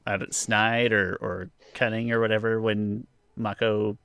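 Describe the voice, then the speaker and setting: A man's voice: lowish voice, little pitch movement, a little slow, vocal fry, fairly bright tone, smooth, dry recording, studio quality. A man co-hosting a podcast, chatting casually and with opinions about a TV show. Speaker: podcast co-host. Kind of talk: casual TV review chat.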